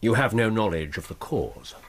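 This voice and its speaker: An actor playing a keenly observant, self-assured Victorian gentleman detective with an English accent, precise and probing, in a radio drama. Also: lowish voice, big pitch swings, moderate pace, vocal fry, breathy edge, exaggerated voice. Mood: brisk, probing. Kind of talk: period radio drama acting.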